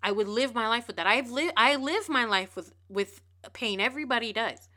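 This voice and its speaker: A woman hosting a podcast, giving a casual motivational monologue. She talks fast and emphatically, repeating words and restarting phrases. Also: a little high, pushed and bright. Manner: emphatic, preachy. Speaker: podcast host.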